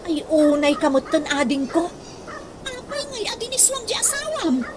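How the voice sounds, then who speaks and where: A concerned big sister voiced by an actress with a high voice. She admonishes and advises in radio drama style, through heavy background noise. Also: big pitch swings, normal speed. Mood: concerned, admonishing. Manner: reproving, advising. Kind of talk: comedy radio drama acting in Ilocano.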